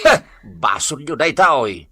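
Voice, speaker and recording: A man's voice: medium pitch, very animated, slow, sharp tone, slightly rough, exaggerated voice. A man playing an exasperated, scolding barangay captain in an Ilocano comedy radio drama, with impatient, emphatic delivery.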